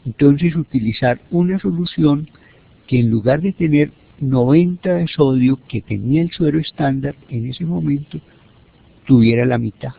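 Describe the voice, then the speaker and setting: A Colombian man delivers a halting, didactic lecture. His voice has a gravelly creak to it. The sound is thin and narrow, like a telephone connection. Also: a little high. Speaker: medical lecturer. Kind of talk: teleconference lecture, explanatory. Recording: narrow, phone-like teleconference audio.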